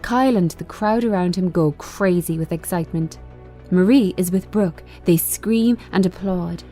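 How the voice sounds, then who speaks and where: A woman reading screenplay directions as scripted narration, in measured, short phrases. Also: lowish voice, dark tone, soft background music. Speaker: screenplay reader.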